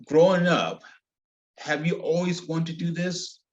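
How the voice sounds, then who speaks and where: A man's voice: on the high side, steady tone, a little slow, muffled recording. A man interviewing a guest over a video call, asking long, repetitive questions with effusive praise.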